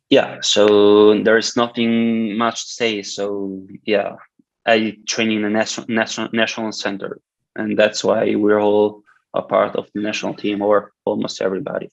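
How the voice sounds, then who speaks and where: A man in his twenties, a swimmer from Switzerland whose first language is Italian, speaking with a Swiss Italian accent in a halting way, with many restarts, on a video call. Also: on the low side, creaky, dry recording. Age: 20s. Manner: hesitant, many restarts and fillers. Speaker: guest swimmer. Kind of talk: casual interview. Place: indoor room with curtains. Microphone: video call, laptop camera. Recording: dull lo-fi video call audio.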